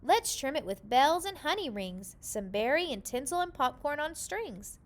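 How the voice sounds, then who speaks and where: A woman's voice: high-pitched, big pitch swings, moderate pace. A woman voicing a cheerful, eager bear in a children's storybook, folksy and exclamatory.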